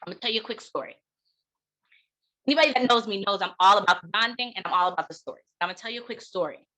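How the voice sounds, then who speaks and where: A woman delivering a keynote speech in a deliberate, emphatic manner, breaking phrases with dramatic pauses. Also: mid-range voice, sharp tone, rough recording. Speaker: keynote speaker, counseling clinician.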